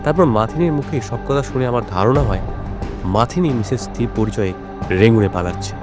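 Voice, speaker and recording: Composed investigator acted by a man for radio mystery, mid-range voice, clear score underneath. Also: medium pitch, a little fast, music in the background, close to an everyday voice. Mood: reflective, explaining. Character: composed, probing male private detective. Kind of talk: audio drama dialogue.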